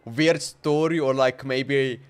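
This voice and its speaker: A man's voice: medium pitch, takes it slowly, clean tone. A man livestreaming a game with casual, slangy, loosely phrased commentary. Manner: loose, slangy, hesitant reactions.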